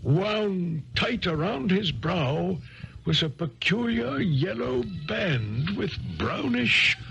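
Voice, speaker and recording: A man playing an earnest gentleman sidekick who narrates a radio mystery in formal, suspenseful style. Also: sits high, sing-song, takes it slowly, creaky, lo-fi sound, soft background music, full character voice. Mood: tense, hushed. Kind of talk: 1970s radio drama acting, narrating. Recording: 1970s radio broadcast.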